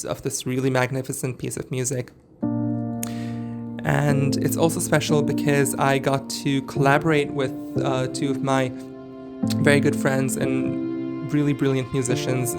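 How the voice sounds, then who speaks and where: A young man, a singer, giving a reflective personal statement in an earnest, articulate way with occasional filler pauses. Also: mid-range voice.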